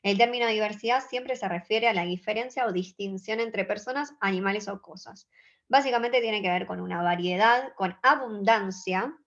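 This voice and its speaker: A woman in her twenties with an Argentine accent, giving an earnest, measured conference talk and checking in with her audience. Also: mid-range voice, lo-fi sound. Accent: Argentine Spanish. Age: 20s. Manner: earnest, measured, engages the audience with short questions. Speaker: conference speaker.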